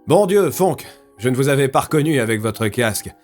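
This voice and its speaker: An actor playing a gruff, sceptical police inspector in an audio drama, with a dry, teasing manner. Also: male voice, mid-range voice, animated, a little fast, darkish tone, soft background music, natural voice.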